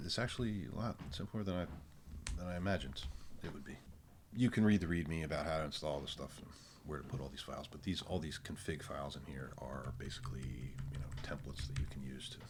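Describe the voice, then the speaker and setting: Very deep, gravelly man explaining tech. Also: slight background noise. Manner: informal, halting, thinking aloud. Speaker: presenter of a technical tutorial. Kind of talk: casual unscripted screencast walkthrough.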